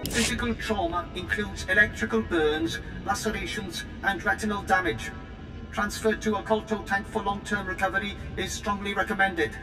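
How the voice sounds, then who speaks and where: An impassive medical droid in a video game, reciting its findings with flat, clinical calm. The voice comes from off the mic in a roomy, echoing space with long reverb, and music plays clearly underneath. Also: mid-range voice, normal speed, pauses often. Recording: echoey, distant from the mic.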